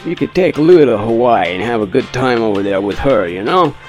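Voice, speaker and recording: A persuasive gangster boss from a dream, acted out by a man. The voice is medium in pitch, coaxing and familiar in the manner of a comic radio play, over faint music. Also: lively intonation, brisk, natural voice.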